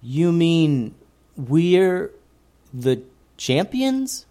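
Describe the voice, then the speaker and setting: A man's voice: high voice, expressive, measured pace, darkish tone, pitched above the performer's own voice. A man reading a storybook aloud and voicing a polite young girl who hesitates and stammers. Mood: amazed.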